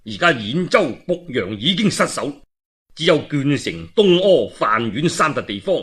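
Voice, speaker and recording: Animated Cantonese male storyteller, bright and measured. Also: on the high side. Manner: colloquial asides and dramatic phrasing. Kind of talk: traditional oral storytelling of a historical novel.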